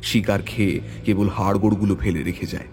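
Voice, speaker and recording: A man reading a hunting adventure as a dramatic audio story, in a measured, suspenseful way. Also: lowish voice, level tone, a little mellow. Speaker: story narrator. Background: background music.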